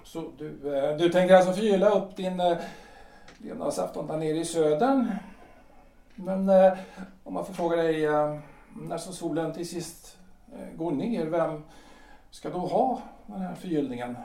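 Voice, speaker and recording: A polite, reserved politician in his forties, played by a man, speaks in a period radio play. His voice is mellow and a little high for a man, full of hems and repetitions. He is heard off the mic in a roomy space. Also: vocal fry. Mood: probing, ironic. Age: middle-aged. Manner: halting, hemming, repeats words. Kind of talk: period stage play as audio drama. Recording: roomy echo, distant from the mic.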